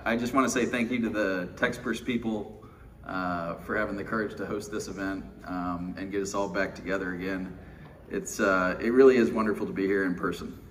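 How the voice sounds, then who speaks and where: Low, slow-talking middle-aged Texan man. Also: far from the mic. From Texas, USA. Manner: wry and self-deprecating, with fillers. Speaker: keynote speaker at a pension conference. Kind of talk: live conference speech. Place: large windowless conference room. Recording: conference room, speaker away from the mic, faint room noise.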